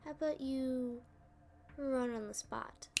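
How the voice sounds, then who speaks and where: A woman playing a playful, smug female supervillain in an ASMR roleplay, teasing and taunting with drawn-out phrasing. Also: high voice, warm tone.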